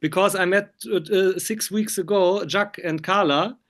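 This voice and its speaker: A man chatting as an interviewer in halting, English. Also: sits high, fairly bright tone. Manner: chatty, halting non-native English phrasing. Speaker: film magazine interviewer. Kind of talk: casual interview over video call.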